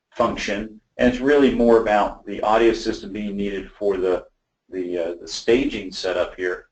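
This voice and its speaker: Male webinar presenter, lower register, explains technical design informally and haltingly, roomy echoing pickup. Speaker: audio system design presenter.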